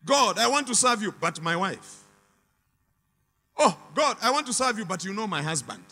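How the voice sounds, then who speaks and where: A man's voice: sits high, big pitch swings, normal speed, bright, forceful tone, in a large hall. A man preaching a sermon with emphatic, repetitive phrasing and call-and-response to the congregation.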